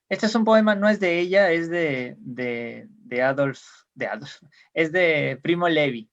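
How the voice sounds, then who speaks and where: A man's voice: fairly high pitch, expressive, slow pace, highs cut off. A man from Peru, a guest explaining ideas in casual conversation, hesitant with many fillers and restarts, heard over a video call.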